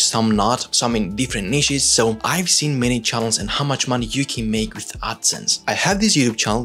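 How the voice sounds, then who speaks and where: A man's voice: mid-range voice, fairly bright tone, breathy edge. A man with a Turkish accent, a content creator explaining things in a loose, chatty way with lots of filler words. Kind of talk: casual talking-head explainer.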